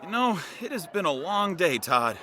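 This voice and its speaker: A man's voice: high-pitched, sing-song, normal speed, sharp tone, exaggerated voice. A man playing an easygoing, friendly adventuring companion in a fantasy audio drama. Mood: friendly, tired.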